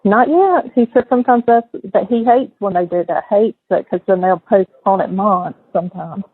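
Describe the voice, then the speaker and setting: A woman's voice: medium pitch, moderate pace, slightly rough, dry recording. A middle-aged woman talking casually on a recorded phone call. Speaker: mother on a call to her son in jail. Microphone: telephone.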